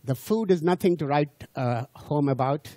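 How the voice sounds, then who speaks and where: Man, non-native English speaker, lecturing, lively pitch, restarts and repeated words. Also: moderate pace, no echo. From India. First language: not English. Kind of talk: public lecture to a cruise audience.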